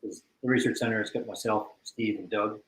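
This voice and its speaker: Man, wry guest, quick talker, echoey room. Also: on the low side, fairly even intonation, a bit bright. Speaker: guest, treasure hunt crew member. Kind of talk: casual chat.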